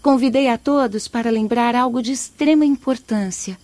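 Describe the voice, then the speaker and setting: A woman's voice: on the high side, moderate pace, darkish tone, dry recording, lightly acted. A woman playing a humble female poet spirit who earnestly admonishes her listeners in a radio drama.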